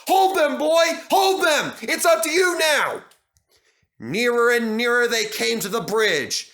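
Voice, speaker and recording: Male storybook narrator; high, animated, expressive read.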